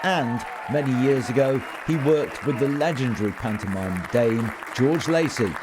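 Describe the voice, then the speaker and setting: A man's voice: mid-range voice, moderate pace. A man hosting a podcast, narrating and chatting with a guest at an awards night. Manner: upbeat, chatty interviewer. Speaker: podcast host. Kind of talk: narration and interviewer at an awards event. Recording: music and crowd noise behind. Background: crowd chatter and music.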